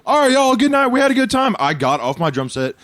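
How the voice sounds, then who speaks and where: A man's voice: very high voice, fast, no echo. A man in his twenties, a band member chatting loosely backstage, telling stories and swearing freely. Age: 20s. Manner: loose, swearing, animated storytelling. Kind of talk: casual backstage chat. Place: backstage green room.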